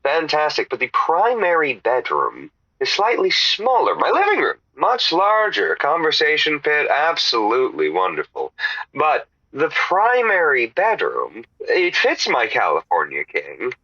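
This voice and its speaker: A man's voice: fairly high pitch, huge pitch swings, measured pace, pushed and bright, slightly rough, no room sound, muffled recording, heavily acted. A man playing a pompous, randy caller to a radio advice show in a comedy audio drama, savouring his words theatrically. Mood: smug, savouring.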